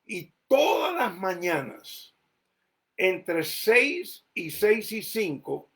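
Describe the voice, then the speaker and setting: A man's voice: high voice, creaky. A Colombian-born man raised in the United States, preaching a conversational sermon with a Caribbean Spanish accent, often repeating words as he thinks. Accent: Caribbean Spanish, Cuban and Puerto Rican influenced. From Colombia, raised mostly in the United States. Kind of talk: sermon teaching.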